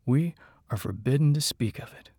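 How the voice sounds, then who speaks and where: A man voicing a big, loyal, honest warrior with a husky voice and an earnest, halting delivery. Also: on the low side, no echo, studio quality. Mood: solemn, proud.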